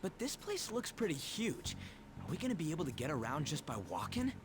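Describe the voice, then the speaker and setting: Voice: mid-range voice, big pitch swings, fairly quick. An actor voicing a brash, hot-headed teenage boy with slangy, blunt speech in an anime video game. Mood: confused, impatient. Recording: game music behind the voice.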